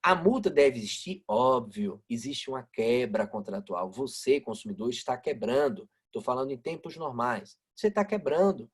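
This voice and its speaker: A man's voice: medium pitch, very animated, normal speed, hardly any pauses, breathy edge. A man with a northeastern Brazilian accent from Aracaju, lecturing online as a law professor in a didactic way, asking rhetorical questions and checking in with listeners. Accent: northeastern Brazilian Portuguese, Aracaju. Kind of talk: live online lecture explaining law with example cases. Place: online video-call lecture.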